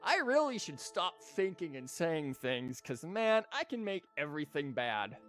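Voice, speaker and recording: A man's voice: on the high side, big pitch swings, unhurried, fairly bright tone. Sarcastic, foul-mouthed young man streaming. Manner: profane, sarcastic joking at the game. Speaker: streamer playing a story game. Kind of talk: live gameplay commentary. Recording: faint game music behind.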